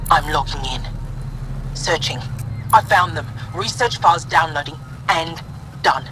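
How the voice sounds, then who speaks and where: A man's voice: on the high side, measured pace, natural voice. An actor playing an earnest, eager male researcher in a video game, with noise behind him. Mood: focused. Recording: noisy game ambience behind.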